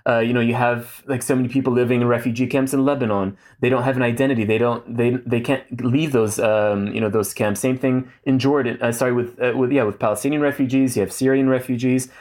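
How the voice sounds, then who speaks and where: A man's voice: mid-range voice, steady tone, fast, hardly any pauses, darkish tone. Man, thoughtful guest, hesitant speech, lots of fillers. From Saudi Arabia. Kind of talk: casual interview talk.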